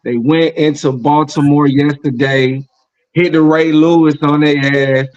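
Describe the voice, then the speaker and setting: A man's voice: fairly high pitch, steady tone, measured pace, darkish tone, lo-fi sound. A man hosting a casual sports podcast, opening each topic with a loose, profane, hyped-up delivery. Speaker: podcast host.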